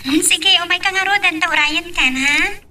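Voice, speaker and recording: A woman playing a sweet, coaxing young sweetheart in an audio drama, with an Ilocano Filipino accent and drawn-out, coquettish speech. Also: extremely high pitch, heavily acted. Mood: teasing. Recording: sharp, distant sound with noise.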